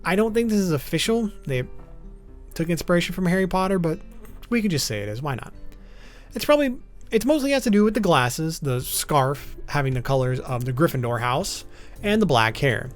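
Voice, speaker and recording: Higher-pitched man narrating, lively intonation. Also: a little fast. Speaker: video essay narrator. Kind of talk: informal scripted commentary on a list of topics. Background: background music.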